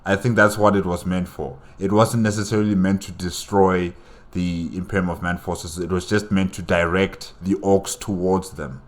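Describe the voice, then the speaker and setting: A man with a very low voice gives casual commentary as host of a reaction video. He speaks haltingly, repeating words as he works through his thoughts out loud. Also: level tone. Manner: halting, repeats words while reasoning aloud.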